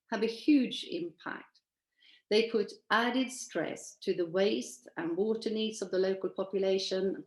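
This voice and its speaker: A woman in her sixties, originally from Sweden, talks through a slideshow in an earnest, measured way. She pauses and hesitates now and then. Her voice sits at an ordinary middle pitch and sounds echoey, as if picked up in a bare room over a video call. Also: a little mellow. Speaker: presenter at an online event. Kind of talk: presentation from shared slides.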